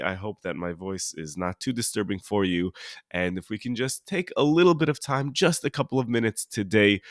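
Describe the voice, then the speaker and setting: An American man who is sniffly with a cold, hosting a solo podcast and teaching in an informal, halting way, rephrasing as he goes. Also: fairly low pitch, no room sound, studio quality. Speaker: podcast host and religious teacher.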